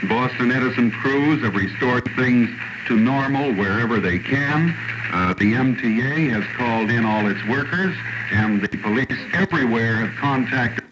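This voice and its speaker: Calm newsman on a noisy archive broadcast. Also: medium pitch. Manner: calm, steady bulletin delivery. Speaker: radio newsman. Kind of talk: live emergency news broadcast. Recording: noisy 1950s radio archive.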